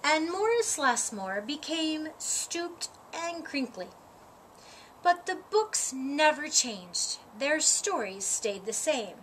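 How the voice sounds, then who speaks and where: A woman reading a children's story aloud, with expressive, deliberate phrasing and dramatic emphasis. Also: sits high, rough recording. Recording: audible background noise.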